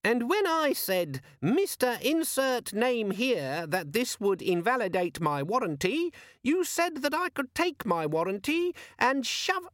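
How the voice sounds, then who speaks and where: High, squeaky, whiny storybook imp; pitch way up, nagging and sulky. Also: male voice, big pitch swings, a little slow, hardly any pauses, fairly bright tone, no room sound, studio quality, full character voice. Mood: indignant. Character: squeaky, cheeky, sulky little pocket demon organizer.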